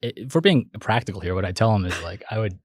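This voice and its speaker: A young man, a startup founder, chatting casually in an interview, hesitant with many fillers and restarts and quick laughs. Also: on the low side, very animated, no echo, studio quality. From United States.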